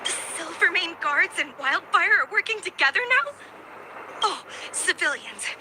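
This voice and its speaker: A worried young townswoman in a video game. Her voice is extremely high, polite and hesitant. Noticeable ambience sits behind the words. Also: animated, moderate pace, sharp tone, a little hiss or hum, exaggerated voice, low-quality recording. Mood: worried, pleading. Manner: hesitant, earnest. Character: young female civilian, anxious and polite. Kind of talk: English game dub dialogue.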